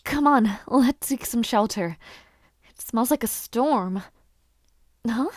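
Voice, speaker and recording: Girl voice actor doing a shy young noble lady knight, soft n hesitant, slow talker, audio roleplay. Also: on the high side. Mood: alarmed, urgent. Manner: soft, hesitant, halting speech. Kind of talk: intimate audio roleplay acting.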